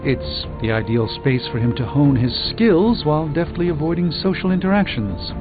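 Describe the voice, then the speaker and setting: A man as a haughty, expressive sorcerer superhero, band-limited audio. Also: on the high side, normal speed, phone-quality sound, close to an everyday voice. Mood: dry, brooding. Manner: formal, dry, slightly pompous. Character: erudite, haughty middle-aged male sorcerer superhero. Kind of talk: video game voice acting. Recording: band-limited game audio under music.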